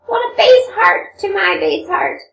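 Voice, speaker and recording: A woman's voice: very high voice, wildly swooping pitch, a little slow, pitched above the performer's own voice, heavily acted. A woman playing an emotional young Russian woman in a storybook reading, pleading with dramatic questions. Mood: torn, pleading. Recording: roomy, distant microphone, noisy hum.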